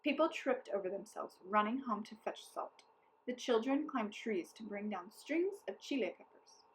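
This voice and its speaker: A woman reading a children's story aloud as storyteller, in an expressive, deliberate way. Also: fairly high pitch, poor audio.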